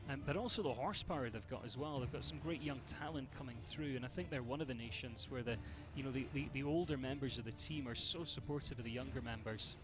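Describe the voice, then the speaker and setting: A man's voice: medium pitch, fast talker, few pauses, over music. A man from Scotland with a Scottish accent, giving chatty live commentary on a show jumping event and greeting viewers by name.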